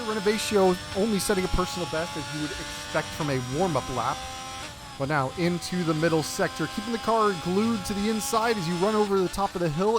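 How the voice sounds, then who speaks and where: A man's voice: high-pitched, background noise. A man with an American accent giving chatty play-by-play commentary on a sim racing league race. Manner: chatty play-by-play with fillers and self-corrections.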